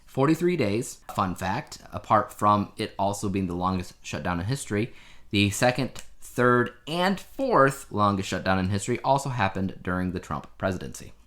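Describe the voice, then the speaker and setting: A man's voice: fairly low pitch, very animated, moderate pace, fairly bright tone. A man co-hosting a podcast, chatting casually through the news in a wry, rambling way. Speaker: podcast co-host.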